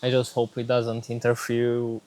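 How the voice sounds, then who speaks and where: A man's voice: mid-range voice, completely flat delivery, measured pace, a little mellow, poor audio. A young man with a Romanian accent, speaking English as a second language, sharing a personal faith story in a hesitant vlog with many fillers and restarts, outdoors on a windy day. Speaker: vlogger.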